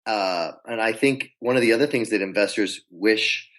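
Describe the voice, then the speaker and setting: Guy with a lowish voice on a podcast, pitch coach, tells stories and does little quote voices. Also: moderate pace. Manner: animated storyteller acting out quotes. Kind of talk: conversational podcast interview.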